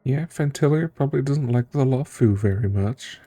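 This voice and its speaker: A man's voice: mid-range voice, normal speed, dark tone. A male streamer giving casual gameplay commentary, musing wryly and sometimes reading aloud. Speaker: streamer playing and reacting to a story game.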